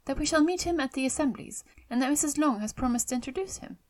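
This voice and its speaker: A courteous young daughter played by a female narrator in a classic novel audiobook. She is sensible and eager, with a raised, girlish pitch. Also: lively intonation, fast talker, a little mellow. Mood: gently protesting.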